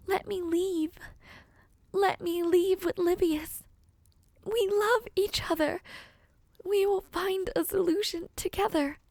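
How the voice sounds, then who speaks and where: A woman playing a gravely ill young princess in an audio drama, gentle but resolute, with soft, breathy, formal and pleading speech. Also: extremely high pitch, no room sound, exaggerated voice. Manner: earnest, formal storybook diction. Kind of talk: audio roleplay drama acting.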